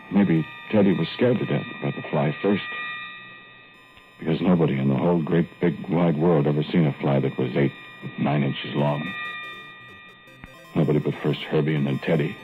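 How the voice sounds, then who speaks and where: A man narrating a 1940s radio play in a slow, hesitant, folksy storytelling manner. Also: rumbling low. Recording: thin phone-like old radio broadcast, faint music.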